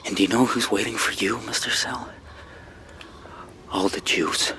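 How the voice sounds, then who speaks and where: An actor playing a bitter young avenger in a radio drama, deliberate and menacing, often hushed. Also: male voice, fairly low pitch, fairly even intonation, vocal fry. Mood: bitter, vengeful. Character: hardened young avenger, bitter, cold and merciless. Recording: faintly noisy radio drama recording.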